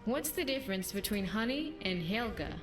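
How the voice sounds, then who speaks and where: A woman's voice: medium pitch, lively intonation, normal speed, close to an everyday voice. A woman playing a wry, bawdy female follower in a fantasy video game, with teasing, playful banter. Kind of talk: video game companion dialogue. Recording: roomy game audio, music behind.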